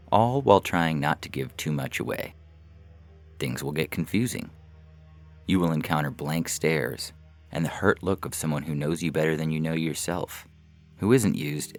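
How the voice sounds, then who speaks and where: Male narrator, extremely deep with gravelly fry, deliberate short-story reading over faint music. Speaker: story reader. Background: faint background music.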